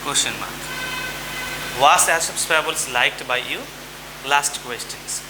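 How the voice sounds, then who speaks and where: A man teaching a grammar lesson to students in a deliberate way, repeating and spelling out words. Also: mid-range voice. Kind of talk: classroom lesson addressed to students. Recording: very noisy, rain-like hiss throughout.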